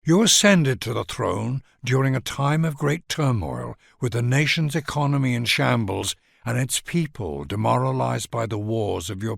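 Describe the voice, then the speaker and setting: Male narrator for epic strategy game: mid-pitched, gravelly edge, highly expressive melody, stately formal address, very dry recording. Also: dry recording. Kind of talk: grand scripted narration.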